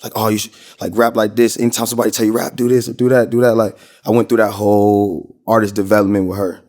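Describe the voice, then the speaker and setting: Man, American, low voice, podcast guest, casual, slangy storytelling. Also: little pitch movement, fast, a little mellow. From Minnesota, United States. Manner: slangy, informal, rambling storytelling. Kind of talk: casual interview chat.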